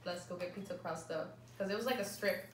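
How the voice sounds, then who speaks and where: A woman's voice: lowish voice, fast talker. A woman chatting casually in a storytime, often saying "like.". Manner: chatty, fills speech with "like". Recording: echoey room, faint background hum.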